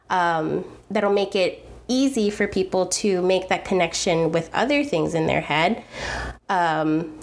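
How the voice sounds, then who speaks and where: This is an American woman in her thirties talking as a guest in an interview, her voice sitting at a middle pitch. She speaks earnestly and reflectively, weighing her words. She often circles back and restarts her sentences. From United States. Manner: earnest and thoughtful, frequent restarts and fillers. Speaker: food video creator, guest.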